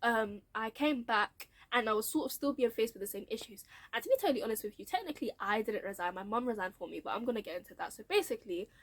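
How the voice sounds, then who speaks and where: A woman's voice: fairly high pitch, expressive, fast talker, few pauses. A young adult woman with a nasal, blocked-nose voice, telling her career story to camera in a chatty, frank way and laughing often. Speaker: vlogger.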